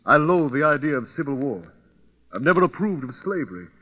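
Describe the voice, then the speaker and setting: An actor playing a grave, honorable army colonel torn by anguish, solemn and earnest in old-time radio drama style. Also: male voice, on the high side, sing-song, fairly quick. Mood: resolute, solemn. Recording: dull vintage radio recording, faint music.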